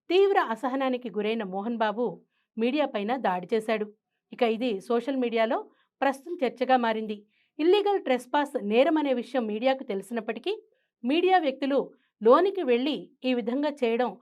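A woman presenting scripted news commentary. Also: high voice.

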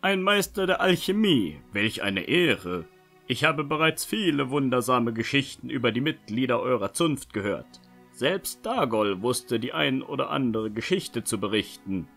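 A man's voice: mid-range voice, very animated, a little slow, creaky, a bit bright, soft background music, heavily acted. A man playing a polite, evasive young nobleman, speaking formally in a fantasy audio drama. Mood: courteous. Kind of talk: fan-made fantasy audio drama acting.

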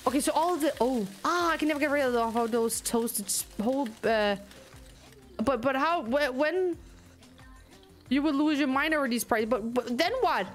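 A young Danish woman with a Danish accent, streaming a game and commenting in an animated, ranting way, reading lines aloud as she plays. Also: high voice, moderate pace, faint music behind. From Denmark. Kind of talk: let's play commentary.

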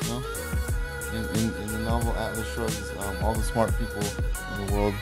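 A man's voice: on the low side, little pitch movement, normal speed. A man talking off the cuff, rambling and swearing, with a voice-over over music. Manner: profane, rambling, laughs at own jokes. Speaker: vlogger. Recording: voice over loud background music.

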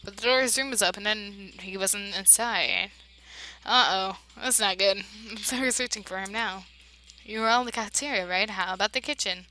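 A woman playing a game, reading its dialogue aloud and reacting with big emotional swings between loud outbursts and hushed narration. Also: medium pitch, normal speed, slight background noise, rough recording. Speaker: let's play voice-over player.

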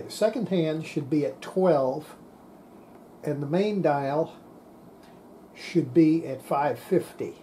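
Older guy talking slow thru a repair, kinda muttering to himself, mellow voice, bit of workshop noise. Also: fairly high pitch. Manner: halting, muttering to self while working. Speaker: radio repair hobbyist, presenter. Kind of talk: unscripted hands-on repair demonstration. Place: indoor workbench.

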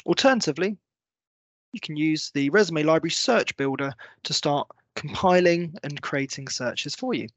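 A man in his thirties presenting a software walkthrough, explaining step by step in a semi-scripted tutorial style. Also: fairly high pitch, moderate pace, dry recording. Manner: clear, step-by-step explanatory delivery. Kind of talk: semi-scripted screen-share tutorial narration.

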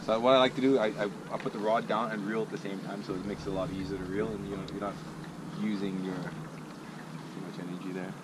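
A low-voiced man talks an angler through a fight, easygoing and patient. He is caught on an action camera in an open boat on a wide river. Loud wind and water noise run under everything. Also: level tone, a little fast. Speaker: fishing guide. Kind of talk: casual coaching chat.

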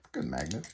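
A man with a Québécois French accent, a native French speaker, casually talking through an unboxing with many fillers and restarts, filmed on a phone over a living-room table. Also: heavy background noise. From Quebec. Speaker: hobby unboxing vlogger.

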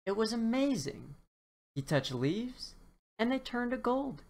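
A woman reading a children's bedtime story aloud in an expressive, deliberate storytelling manner. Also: a little high.

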